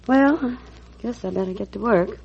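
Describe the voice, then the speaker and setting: A woman playing a chatty, helpful hotel maid with a folksy manner and husky voice in an old-time radio drama. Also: fairly high pitch, normal speed, darkish tone. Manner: folksy, colloquial. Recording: dull old radio recording.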